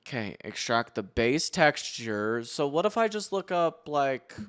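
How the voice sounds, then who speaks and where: A man's voice: mid-range voice, big pitch swings, unhurried, fairly bright tone, clear, resonant tone. A young man streaming casually, cursing and muttering to himself as he works through a task. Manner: profane, muttering to himself while working. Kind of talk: casual live-stream commentary.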